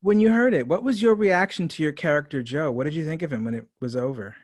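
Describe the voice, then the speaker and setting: A man's voice: on the high side, fast, no room sound, clean studio sound. A man conducting an interview in a conversational way, as a writer and director would.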